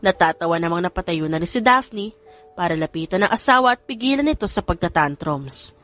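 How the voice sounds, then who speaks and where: A woman's voice: on the low side, expressive, a little fast, fairly bright tone, faint music behind. A woman with a Filipino accent reads scripted story narration as an audiobook narrator.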